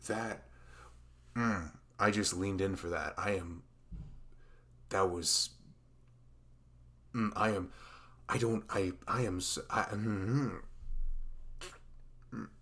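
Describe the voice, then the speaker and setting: A man playing a dorky, smitten young best friend in an intimate ASMR roleplay, speaking in a halting, awkward, rambling way. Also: fairly low pitch, pushed and bright, full character voice. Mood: flustered, giddy.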